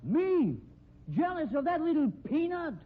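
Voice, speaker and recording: An actor playing a proud, jealous circus sword swallower with an indignant, blustering manner, in 1950s radio drama style. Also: male voice, very high voice, big pitch swings, a little slow, full character voice. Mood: scornful bluster. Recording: tinny, hissy old radio recording.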